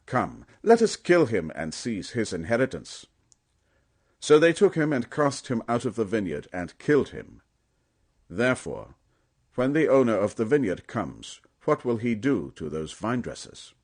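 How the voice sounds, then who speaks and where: A man with a fairly low voice reads scripture as an audiobook narrator. His delivery is solemn and emphatic, like formal storytelling. The intonation moves widely, giving the reading a dramatic, animated shape. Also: a little slow, slightly rough.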